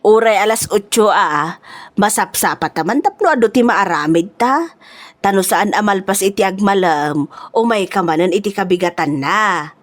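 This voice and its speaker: Audio drama auntie a woman acting: older, hospitable, motherly, Ilocano-accented, gently reassuring delivery. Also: mid-range voice, lively intonation, moderate pace, lightly acted.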